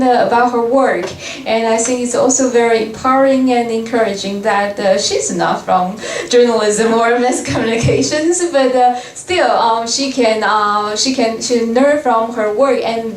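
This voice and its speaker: A woman hosting a class session, thanking the guest with lots of hesitant pauses. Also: a little high. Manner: hesitant, many uh fillers. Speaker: class host. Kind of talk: closing thanks. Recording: echoey room from far off, faint noise.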